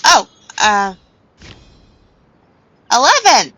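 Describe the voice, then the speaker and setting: A woman's voice: fairly high pitch, big pitch swings, very slow, a bit bright. A woman casually reacting and commenting as she plays a video game. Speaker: gamer commentating. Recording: slightly muffled, game music behind.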